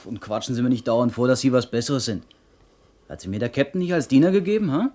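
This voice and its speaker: A man's voice: mid-range voice, animated, fast, mellow, close to an everyday voice. Guy acting cocky young ship's boy in a radio play, hot-headed, eager, mid-range voice. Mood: cocky, scornful. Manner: brash, eager.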